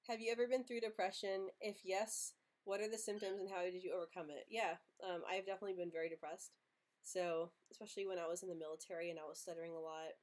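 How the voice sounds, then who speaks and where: A young woman who stutters often, with repeated sounds and blocks, hosting a casual live Q&A, earnest and quick to laugh. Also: on the low side, steady tone, a little mellow. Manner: earnest, open, laughs easily. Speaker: livestream host answering viewer questions.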